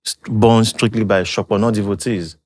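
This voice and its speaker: A man's voice: fairly low pitch, fairly even intonation, normal speed, darkish tone. A man, a storyteller and historian explaining ideas at length in a podcast conversation, often with a laugh.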